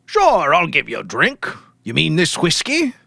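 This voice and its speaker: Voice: medium pitch, wildly swooping pitch, unhurried, vocal fry, sharp tone, no room sound, pitched above the performer's own voice, heavily acted. A performer voicing a kindly male police sergeant, courteous and a little hesitant, in a story reading. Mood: obliging.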